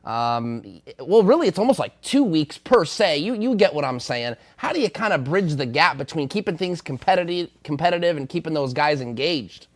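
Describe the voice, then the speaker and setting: A man's voice: on the high side, sing-song, moderate pace, few pauses. A man co-hosting a sports talk show, asking chatty, playful questions.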